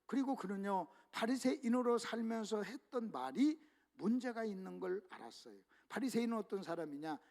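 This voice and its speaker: A man's voice: very high-pitched, vocal fry, a bit bright. A male pastor preaching a revival sermon emphatically, often calling out to the congregation.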